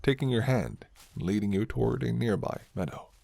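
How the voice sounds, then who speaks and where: Low-voiced man narrating, slightly gravelly. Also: fairly quick, warm tone. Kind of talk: scripted second-person ASMR story narration.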